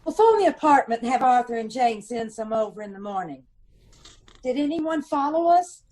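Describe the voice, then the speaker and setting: A woman's voice: a little high, lively intonation, normal speed, dark tone. A woman playing a witty, affectionate, teasing detective's wife in an old-time radio comedy mystery. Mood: arch.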